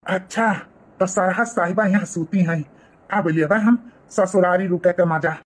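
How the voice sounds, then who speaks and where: A man's voice: high-pitched, unhurried, slightly rough. A man voicing a flirty, scheming brother-in-law in a village comedy cartoon, sly and wheedling, with a Bhojpuri accent.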